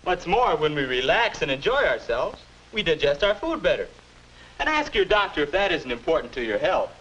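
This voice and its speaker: Casting: persuasive young American mentor of twenty-one, very animated delivery, muffled 1950s educational film sound. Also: male voice, on the high side, normal speed, slight background noise. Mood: instructive, persuasive. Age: young adult. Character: confident 21-year-old future self, patient persuasive advisor.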